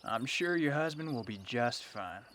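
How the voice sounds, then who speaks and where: A man's voice: medium pitch, lively intonation, normal speed, a bit bright, lightly acted. Man acting as young American cowboy, courteous, determined, plain-spoken, game dialogue, faint insects and outdoor noise behind. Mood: polite, purposeful. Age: young adult. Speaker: video game character.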